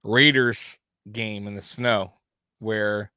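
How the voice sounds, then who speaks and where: A man's voice: lowish voice, fairly even intonation, slow pace, pushed and bright, smooth, no room sound, clean studio sound. A man in his forties from Miami hosting a casual sports talk show, rambling with many restarts. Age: 40s. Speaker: podcast host.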